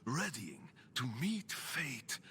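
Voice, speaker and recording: An actor playing a fervent zealot in a video game, speaking in halting, theatrical, reverent monologue that swells with breathy devotion. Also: male voice, medium pitch, wildly swooping pitch, bright, forceful tone, slight background noise, full character voice. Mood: hushed reverence. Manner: rapturous, halting, dramatically drawn-out, breathy swells. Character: fervent, unsettlingly reverent zealot guiding a pilgrim to his holy master.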